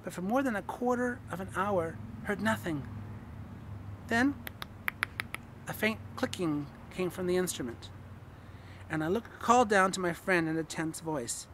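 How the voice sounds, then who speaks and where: A man's voice: high voice, measured pace, long pauses, a bit bright, a little hiss or hum. A man giving a dramatic, scripted reading of a literary horror story, theatrical, intense and portentous. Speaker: reader.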